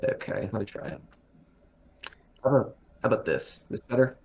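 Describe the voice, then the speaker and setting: A man's voice: medium pitch, lively intonation, fairly quick, creaky, breathy edge. A man chatting casually with fellow players over a crackly online voice connection. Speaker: player in tabletop game. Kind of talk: casual chat. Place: online voice call. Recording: dull, crackly, noisy voice-chat connection.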